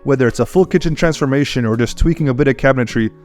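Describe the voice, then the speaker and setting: A man's voice: medium pitch, racing, a little mellow, soft background music. A man co-hosting a podcast in casual, slangy chat, with a laid-back and agreeable manner. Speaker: podcast co-host.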